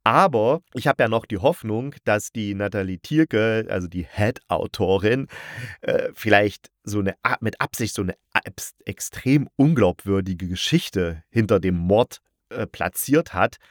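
Low-voiced man in a rambling casual review. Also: measured pace, no room sound, clean studio sound. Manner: rambling, self-correcting, colloquial and blunt. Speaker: podcast reviewer of audio dramas. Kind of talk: casual opinionated review monologue.

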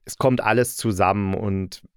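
Man with a low voice, casually reviewing. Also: steady tone, normal speed, smooth, no echo, clean studio sound. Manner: opinionated, nitpicking, colloquial. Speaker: audio drama reviewer and host.

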